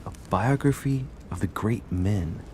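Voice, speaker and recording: A man voicing a well-mannered young nobleman in a video game, dry and measured. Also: lowish voice, background noise, lightly acted. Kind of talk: game voice acting.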